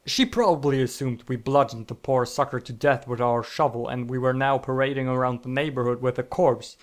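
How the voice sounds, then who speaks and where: A man's voice: medium pitch, steady tone, a little fast. A young man narrating scripted comic stories in a theatrical, mock-epic manner, with self-deprecating asides. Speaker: online storyteller.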